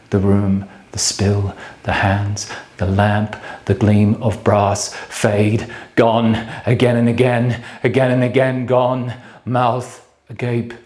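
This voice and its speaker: An actor playing a bleak, solitary man brooding on death in a theatrical monologue, speaking in grave, halting fragments. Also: on the low side, a little mellow. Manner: halting, clipped fragments with measured pauses. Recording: echoey room sound.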